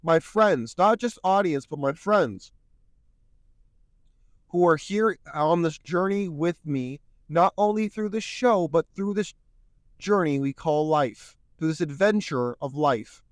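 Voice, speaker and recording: A man's voice: on the high side, expressive, slow, no echo. A man hosting a solo podcast, speaking earnestly and a bit preachily while he is losing his voice. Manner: earnest, preachy, repeats "my friends". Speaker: podcast host.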